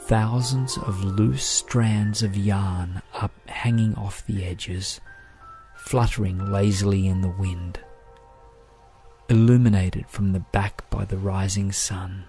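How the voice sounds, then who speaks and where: A man guides a meditation in a low voice. His delivery is very slow, gentle and soothing, each phrase measured. Soft music plays faintly behind him. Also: fairly even intonation.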